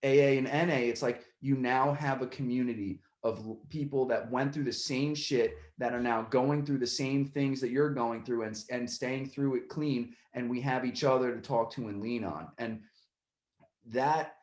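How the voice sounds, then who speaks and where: A man's voice: medium pitch, fairly even intonation, moderate pace, darkish tone, reverberant. A man hosting a podcast, talking casually in long rambling stretches with many restarts, and getting worked up when he retells a story. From Puerto Rican family side. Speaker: podcast host.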